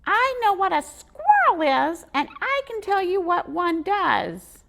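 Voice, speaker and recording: A woman voicing an angry little male squirrel with a squeaky voice. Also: big pitch swings, slow pace, gravelly, exaggerated voice. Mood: indignant. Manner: indignant, shouting.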